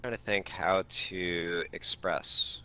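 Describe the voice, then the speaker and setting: Man, first-time game master, low pitch, bright tense voice, eager, hesitant. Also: steady tone, slow pace, clear, resonant tone, low-quality recording. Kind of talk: casual feedback discussion on a call.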